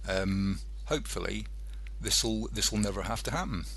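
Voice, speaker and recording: A man hosting an internet radio show in a casual, rambling monologue, halting and hesitant as he thinks aloud. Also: fairly low pitch, vocal fry, a bit bright, breathy edge.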